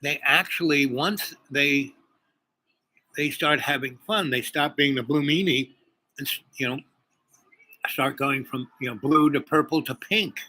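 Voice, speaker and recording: Rambling man with a bright voice. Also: a little high, a little slow, poor audio. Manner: rambling, many fillers and restarts. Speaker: podcast host. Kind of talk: casual spiritual chat.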